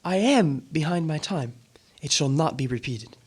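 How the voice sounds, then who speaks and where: A woman acts out a meek, apologetic male clerk for a radio drama. The voice is very husky and breathy, with wide pitch swings. The delivery is halting and deferential. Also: expressive, a little mellow. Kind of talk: theatrical radio drama acting.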